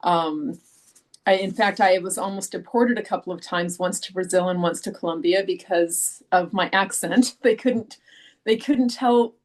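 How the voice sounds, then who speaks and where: Chatty woman author with frequent ums. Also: mid-range voice. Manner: chatty, frequent ums and restarts, laughs easily. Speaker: author guest. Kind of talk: casual interview chat.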